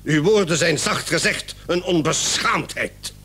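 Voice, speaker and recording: Radio drama doctor: raspy, slightly high, irritable, self-righteous scold. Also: male voice, animated, measured pace, bright, forceful tone, full character voice. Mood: indignant. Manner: pompous, scolding. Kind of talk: radio play acting.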